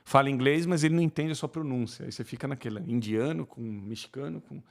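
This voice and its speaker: A co-host in a casual conversation, chatting about everyday experiences in a relaxed way. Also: mid-range voice, fast, creaky.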